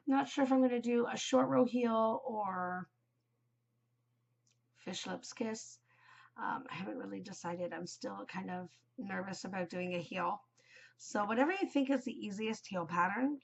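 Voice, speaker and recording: A woman's voice: mid-range voice, gravelly. Woman host, congested and worn voice, chatty and rambling, dull home recording. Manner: rambling, many ums, chatty. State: congested, voice shot from a cold. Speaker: knitting podcast host. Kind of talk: casual solo chat.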